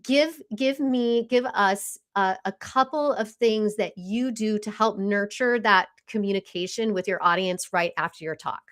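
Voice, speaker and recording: Mid-pitched female podcast host. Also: measured pace, few pauses. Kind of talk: conversational interviewing with coaching commentary.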